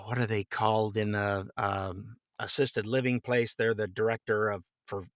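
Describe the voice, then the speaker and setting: A man's voice: lowish voice, steady tone, unhurried, a bit bright, no room sound, clean studio sound. A middle-aged man chatting casually as an interview guest, telling anecdotes in a rambling, chuckling way. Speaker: podcast guest, voice actor.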